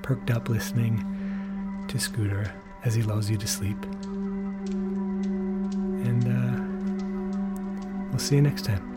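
A man with a mid-range voice presents a bedtime podcast in a soothing way. His phrasing is soft and lingering, with a hushed touch. Music sits prominently behind his words. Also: mid-range voice, fairly even intonation, slow pace, pauses often. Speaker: podcast presenter introducing an episode. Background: music under the voice.

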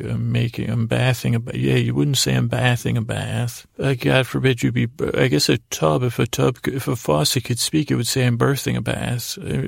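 Very gravelly, creaky man, meandering and halting. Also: mid-range voice, no room sound, clean studio sound. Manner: meandering, halting, stammering, self-interrupting. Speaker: podcast host. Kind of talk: rambling improvised bedtime monologue.

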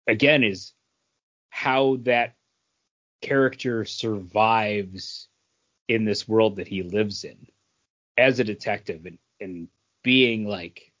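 A man's voice: lowish voice, fairly bright tone, dull audio. A man in casual discussion as a podcast co-host, explaining and thinking aloud. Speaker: comic book podcast co-host. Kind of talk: casual book discussion chat.

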